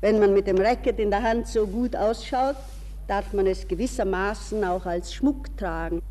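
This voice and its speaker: A woman acts out a gallant ageing gentleman for a radio play. The delivery is soft-toned, courteous and flirtatious, with formal phrasing. Long reverberation hangs around the voice. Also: mid-range voice, moderate pace, natural voice. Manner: formal, gallant, self-deprecating. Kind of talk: radio drama dialogue.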